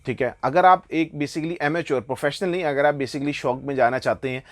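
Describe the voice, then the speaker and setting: A man with a Pakistani accent and a slightly higher-pitched voice talks through products like an online seller. He explains things casually and with enthusiasm, often dropping English phrases into his sentences. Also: fairly quick. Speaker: online motorbike gear seller presenting products.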